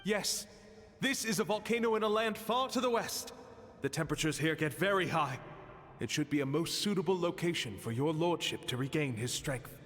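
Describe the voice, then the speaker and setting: An actor playing an obedient, mind-controlled human servant who speaks formally and deferentially in a game. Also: male voice, a little high, expressive, moderate pace, a bit bright. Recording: long hall reverb with music.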